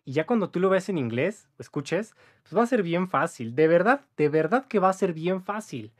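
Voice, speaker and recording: A man's voice: high-pitched, expressive, normal speed. Upbeat young Mexican man teaching English. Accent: Mexican Spanish. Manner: chatty, upbeat, frequent "¿va?" and "¿vale?" tag questions. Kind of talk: casual video lesson. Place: indoor room with a patterned wall.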